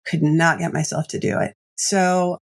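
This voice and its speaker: Lady coach on a podcast, lowish voice, tells stories and laughs a lot. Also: measured pace, vocal fry. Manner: animated storyteller, laughs often. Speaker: executive coach and author. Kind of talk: podcast interview guest.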